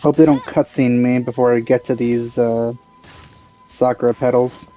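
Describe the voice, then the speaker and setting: A woman's voice: extremely low pitch, steady tone, normal speed, dark tone, faint music behind. A woman giving casual, offhand, joking commentary while playing a video game. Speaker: let's play host.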